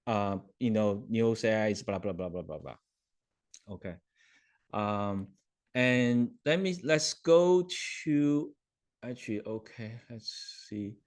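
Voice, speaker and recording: Slow, halting man walking through a demo. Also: lowish voice, a little mellow. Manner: hesitant, with many ums and self-corrections; checks in with listeners often. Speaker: webinar host. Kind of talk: live software demo walkthrough.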